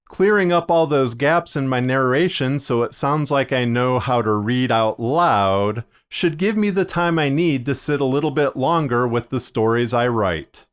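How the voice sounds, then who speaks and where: A man narrating a scripted video as a podcaster, speaking in measured, deliberate phrases with short pauses. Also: medium pitch. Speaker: podcaster and fiction narrator presenting a video series.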